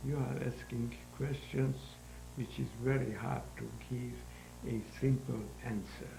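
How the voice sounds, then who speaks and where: Slow, gravelly very old man. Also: medium pitch, fairly even intonation. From Hungary. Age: 90s. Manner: halting, searching for words, non-native grammar. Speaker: guest. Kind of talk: interview answers. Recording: roomy echo with faint hum.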